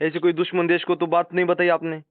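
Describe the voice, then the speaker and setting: A man's voice: a little high, monotone, fast. A man doing a phone interview, prompting the other person with questions in a sympathetic manner. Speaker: interviewer, activist. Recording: roomy, tinny phone-line audio.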